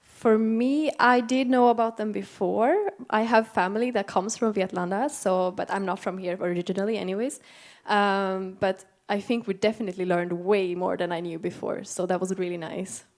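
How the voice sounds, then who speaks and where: A woman's voice: medium pitch, fairly even intonation, normal speed, hardly any pauses. A young woman student answering interview questions on an auditorium stage, earnest and reflective. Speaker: student contestant. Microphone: handheld mic.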